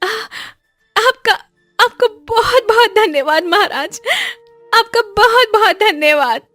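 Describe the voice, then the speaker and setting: Cartoon village woman, acted by a female reader: very high whine, slow imploring delivery, music behind. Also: lively intonation, bright, forceful tone, no room sound, heavily acted. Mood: pleading. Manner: imploring, drawn-out, deferential. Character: pleading, distressed village woman in a moral-story cartoon.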